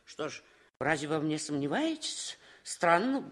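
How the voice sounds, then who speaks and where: An actor playing a small, flustered, touchy officer losing at cards, husky-voiced, in a radio drama. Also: male voice, a little high, very animated, moderate pace, fairly bright tone. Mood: flustered, wounded. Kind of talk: Soviet radio drama acting.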